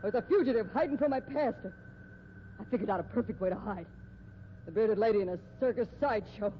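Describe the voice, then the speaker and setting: An actress playing a desperate fugitive murderess in a 1950s radio mystery, melodramatic and halting. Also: female voice, medium pitch, natural voice. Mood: desperate, confessing. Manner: melodramatic, halting, overwrought confession. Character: desperate fugitive murderess posing as circus bearded lady. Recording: muffled, noisy old radio recording.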